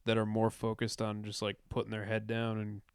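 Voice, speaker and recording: A man's voice: lowish voice, completely flat delivery, brisk, gravelly, clean tone, no echo, clean studio sound. An American man co-hosting a podcast, chatting casually and comedically with irreverent, profanity-laced banter. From New England, United States. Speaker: podcast co-host.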